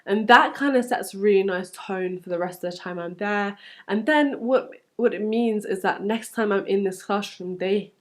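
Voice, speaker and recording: A young woman with a London English accent talks at a middle pitch. She gives advice in an encouraging, conversational way, like a teacher answering questions on a casual vlog. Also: moderate pace. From London, England. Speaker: supply teacher giving advice as vlog host.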